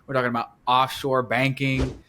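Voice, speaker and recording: A man's voice: medium pitch, level tone, moderate pace, sharp tone, slight background noise. A man from the United States, hosting a podcast, introducing his guest in an upbeat way and asking questions. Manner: upbeat, repetitive listing. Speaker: podcast host.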